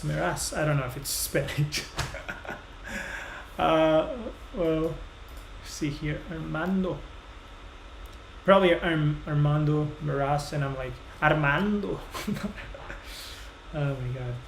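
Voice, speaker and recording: A man's voice: a little high, slow, creaky, pauses often. A man in his thirties from Brazil with a Brazilian Portuguese accent, casually explaining mixing feedback on a live stream, full of fillers. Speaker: music reviewer host. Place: small home studio room. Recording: roomy echo, faint noise.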